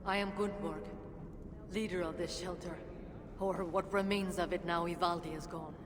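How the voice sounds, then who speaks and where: A woman's voice: fairly low pitch, fairly even intonation, normal speed, natural voice. A weary, embittered dwarf leader, played by a woman, speaks from a long, echoing hall with noise behind her. She is guarded and sardonic, with the solemn delivery of a dramatic fantasy game. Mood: grim. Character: weary, bitter female dwarf leader of a refugee shelter. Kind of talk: dramatic video game voice acting. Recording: long reverberant hall, noisy ambience.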